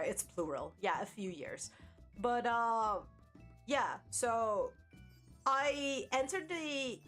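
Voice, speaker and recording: Chatty Turkish-born woman streamer, higher voice. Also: a bit bright. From Turkey. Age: 30s. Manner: rambling, chatty, self-mocking, swears casually. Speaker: VTuber streamer. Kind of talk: casual livestream chat.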